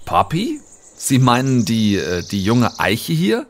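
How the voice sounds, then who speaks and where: A man's voice: medium pitch, huge pitch swings, takes it slowly, background noise, full character voice. A man playing an awkward, impatient young corporate negotiator in an audio drama, polite but stammering and pressing. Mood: hesitant. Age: young adult. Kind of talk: German audio drama acting.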